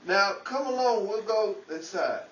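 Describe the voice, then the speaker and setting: A man playing a brash, fast-talking fellow in an old-time radio style Hollywood play. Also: high voice, lively intonation, a bit bright. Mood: breezy. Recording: roomy, distant, noisy, dull home recording.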